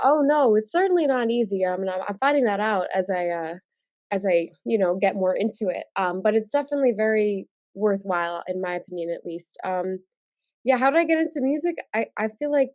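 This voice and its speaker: A woman's voice: mid-range voice, fairly quick, darkish tone, no room sound. A young woman in her twenties from New York, congested from a cold, chatting casually with many fillers and restarts in an interview over a video call. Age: 20s. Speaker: singer-songwriter guest.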